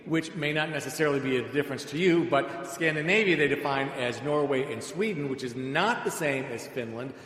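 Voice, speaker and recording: Male American preacher, middle-aged, conversational and wry, mid-pitched, recorded in a reverberant hall. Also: a bit bright. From suburbs of Chicago, United States. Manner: conversational, dryly humorous. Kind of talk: sermon with personal anecdotes. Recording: echoey hall, long reverb, background noise.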